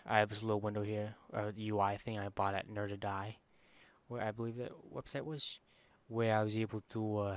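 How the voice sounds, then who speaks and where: A man with a quiet voice walking through a screen-recorded software tutorial in a casual, rambling way, full of fillers and self-corrections. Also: lowish voice. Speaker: streamer giving a software tutorial.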